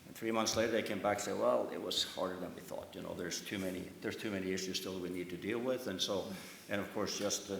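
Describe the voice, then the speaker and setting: A man speaking as a shipping company chief on a conference panel, halting, with many restarts and fillers. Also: low-pitched, fairly even intonation, vocal fry, a bit bright, a little hiss or hum. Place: conference hall. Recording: echoey conference room.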